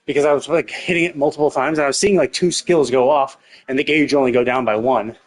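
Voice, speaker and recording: A man's voice: mid-range voice, steady tone, fast talker. A man giving casual, sweary live game commentary as a streamer, puzzling things out aloud. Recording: game music behind the voice.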